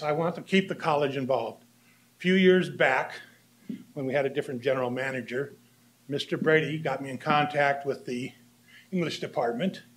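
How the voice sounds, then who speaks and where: An older man giving a public comment speech at a podium microphone in a meeting room, plain and steady with light humor. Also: medium pitch, low-quality recording. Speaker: candidate for community association board.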